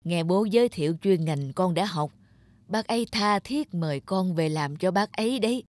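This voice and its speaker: A woman's voice: fairly low pitch, lively intonation, moderate pace, darkish tone, no echo, clean studio sound, close to an everyday voice. A woman voicing a weary, worried elderly father in a cartoon, admonishing and hesitant. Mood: hesitant coaxing. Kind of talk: cartoon dub acting.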